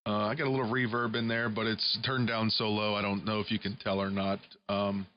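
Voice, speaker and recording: A man with a fairly low voice talks casually as an amateur radio operator. His processed speech arrives over a single-sideband link. The sound is somewhat dull and limited in bandwidth. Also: level tone, a little fast, a little hiss or hum. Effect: single-sideband radio transmission with processed audio. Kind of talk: casual ham radio chat. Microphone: studio broadcast microphone. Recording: dull, band-limited shortwave radio audio.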